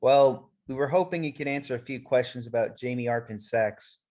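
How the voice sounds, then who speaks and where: A woman playing a formal, steady police detective in an amateur radio play, procedural and deadpan. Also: a bass voice, brisk.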